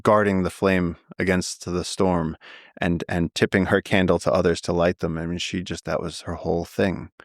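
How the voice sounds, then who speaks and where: A man's voice: sits low, flat delivery, moderate pace, no echo, clean studio sound. A man speaking as a podcast guest, telling his story in an earnest, impassioned way. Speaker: guest, naturalist. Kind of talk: podcast conversation.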